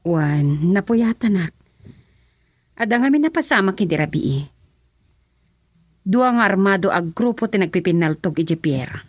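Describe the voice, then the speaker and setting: A woman's voice: mid-range voice, lively intonation, a little slow, pauses often, dry recording. A woman acting a concerned, gossiping friend in an Ilocano radio drama.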